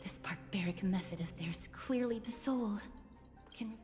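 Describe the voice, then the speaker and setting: A woman voicing a solemn, gentle young spirit medium in a video game, hushed, measured and formal. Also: medium pitch, lightly acted. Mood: troubled, hushed. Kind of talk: dramatic video game voice acting. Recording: game dialogue over music.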